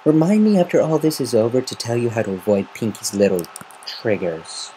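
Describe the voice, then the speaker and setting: A man's voice: medium pitch, lively intonation, brisk, mellow, a little hiss or hum, lightly acted, rough recording. A man voicing a cocky tomboy female pegasus pony, casual and snarky with nervousness underneath. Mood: amused.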